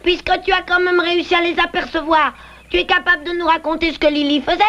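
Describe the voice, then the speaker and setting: A woman's voice: very high-pitched, flat delivery, fast, bright, forceful tone. A woman playing a small, blunt, anxious young bear cub in a dubbed cartoon, childlike and plaintive. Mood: indignant. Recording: muffled old VHS cartoon with music.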